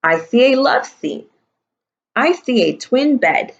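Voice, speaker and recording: A woman's voice: a little high, animated, slow pace, muffled recording, voice pushed up. A woman voicing a chatty, observant mother in a storybook read-aloud. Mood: pointing things out.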